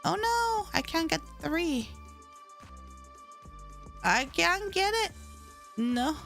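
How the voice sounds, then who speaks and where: A woman's voice: very high voice, big pitch swings, very slow, gravelly, a bit bright, soft background music. A woman game streamer with a slight lisp, chatting casually on a live stream while playing, mumbling and stumbling over her words.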